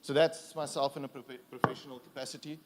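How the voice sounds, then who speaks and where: A man with a South African accent, raised speaking Afrikaans, presents at a conference. His voice sits at an ordinary middle pitch. He talks in an easygoing, self-deprecating way, pausing on fillers and restarting phrases. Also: little pitch movement, background noise.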